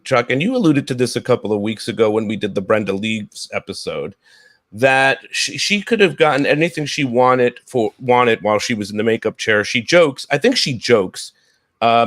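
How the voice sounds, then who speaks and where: A man in his thirties hosts a casual live chat, moving between talking and reading aloud. His pitch is average, and the sound is dry and close. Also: big pitch swings, normal speed, no echo. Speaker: podcast host. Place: indoor room on a video call.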